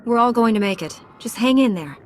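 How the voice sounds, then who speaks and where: A woman's voice: fairly high pitch, expressive, brisk, lightly acted. An actress playing a confident young female Jedi knight in a video game, speaking firmly and directly. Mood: reassuring. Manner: firm, direct, assured.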